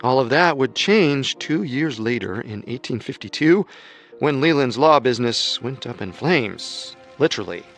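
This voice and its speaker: Steady male storytelling narrator. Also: medium pitch, moderate pace, faint music behind. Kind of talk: scripted documentary narration.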